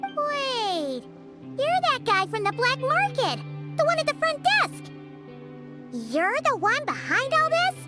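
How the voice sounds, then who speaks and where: Squeaky, extremely high tiny fairy. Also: female voice, huge pitch swings, slow, music in the background, heavily acted. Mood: shocked accusing. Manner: squeaky, exclamatory. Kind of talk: cartoonish video game voice acting.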